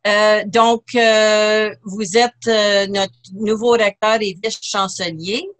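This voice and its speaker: A woman's voice: medium pitch, steady tone, slow pace. A woman hosting a church television interview, asking questions hesitantly with frequent fillers. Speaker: TV host.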